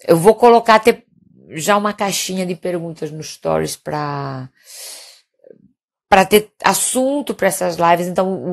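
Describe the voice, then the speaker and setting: Low-voiced middle-aged Spanish-accented woman. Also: gravelly. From Mallorca, Spain. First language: Catalan and Castilian Spanish. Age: 50s. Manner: informal, hesitant, checking in with tag questions. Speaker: doctor hosting a live stream. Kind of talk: casual live chat with viewers.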